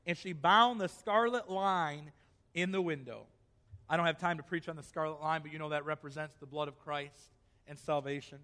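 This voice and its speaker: A man's voice: on the high side, fairly bright tone, long reverb tail. A male pastor preaching a sermon in a church, reading scripture aloud and then pressing his point with rising emphasis.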